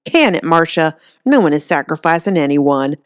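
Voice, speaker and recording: A woman's voice: deep voice, sing-song, moderate pace, clear, resonant tone, no echo, clean studio sound. A woman voicing a tough, bossy, foul-mouthed granny who barks folksy orders in an audiobook. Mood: threatening.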